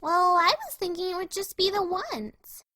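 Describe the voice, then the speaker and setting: A woman voicing an excitable, playful female cartoon pony with a squeaky, perky delivery. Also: normal speed, no echo, exaggerated voice. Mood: sweetly demanding. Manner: perky, exclamatory. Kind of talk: fan fiction audio drama.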